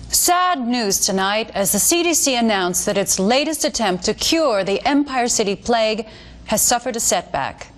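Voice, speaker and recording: An actress playing an earnest American TV news anchor in a video game, reading scripted bulletins in a formal, measured, authoritative way. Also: female voice, a little high, expressive, close to an everyday voice. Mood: grave, formal. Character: polished female TV news anchor, earnest government mouthpiece. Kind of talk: scripted news broadcast narration.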